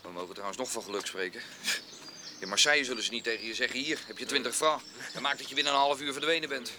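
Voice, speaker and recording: A man's voice: mid-range voice, quick pace, bright, forceful tone, a little hiss or hum. A male actor playing a shameless, cheeky petty thief in a radio drama, telling his tale in an offhand way. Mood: unconcerned. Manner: offhand, storytelling, mimics others. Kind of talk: Dutch radio drama acting.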